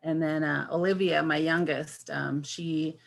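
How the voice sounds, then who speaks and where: A woman of fifty from Washington State, giving her introduction as a candidate at a forum over a video call, halting with fillers and restarts. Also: sits low, steady tone, gravelly. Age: 50s. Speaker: election candidate.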